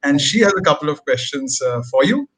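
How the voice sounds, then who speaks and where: A man moderating a panel session, speaking politely and haltingly, with repeated words and fillers. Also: on the high side, very animated, a bit bright, slightly rough.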